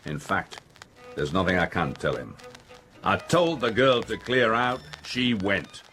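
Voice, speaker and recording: A man's voice: fairly low pitch, sing-song, measured pace, bright, forceful tone, exaggerated voice. An actor playing a pompous, irritable middle-aged factory owner and father in a radio drama, with a blustering, authoritative manner. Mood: irritable, dismissive. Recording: music under the voice.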